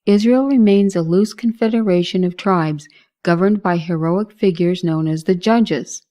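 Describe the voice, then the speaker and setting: A woman narrating a scripted Bible history lesson in a measured, formal reading manner. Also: fairly low pitch, dark tone.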